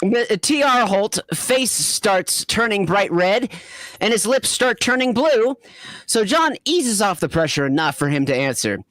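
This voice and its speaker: A woman hosting and narrating a comedic amateur fan fiction reading in a giggly, halting way. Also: mid-range voice, very animated, a bit bright, no echo. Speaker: narrator and host.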